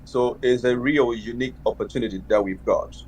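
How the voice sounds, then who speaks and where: A man's voice: medium pitch, brisk, a little mellow, a little hiss or hum. A man from Canada at a group workshop, speaking in an earnest, encouraging way. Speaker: workshop participant.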